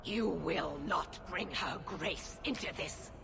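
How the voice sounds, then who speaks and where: An actress playing a stern, zealous middle-aged knight commander in a fantasy game, speaking with formal, commanding severity. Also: female voice, lowish voice, slow, gravelly, music in the background, heavily acted. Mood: grave, warning. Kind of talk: dramatic fantasy game acting.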